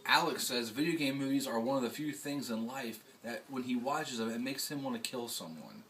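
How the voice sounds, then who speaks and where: A man's voice: mid-range voice, fairly quick, a bit bright. A man hosting a casual video blog, chatting and commenting in an emphatic way with rhetorical questions. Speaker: host. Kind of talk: casual vlog commentary and chat. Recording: distant mic, faint background noise.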